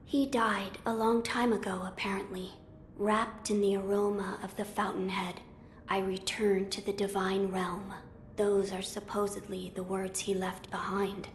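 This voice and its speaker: A woman voicing a young noble boy lord in a video game, formal, measured and earnest. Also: mid-range voice, level tone, slight background noise, lightly acted. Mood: quiet, reflective. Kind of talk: video game cutscene acting.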